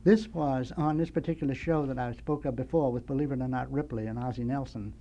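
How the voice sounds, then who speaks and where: Older American man reminiscing, rambling and halting. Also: medium pitch, steady tone, dark tone, dull audio. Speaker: radio guest, veteran sound effects man. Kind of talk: nostalgic anecdotal storytelling. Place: radio studio.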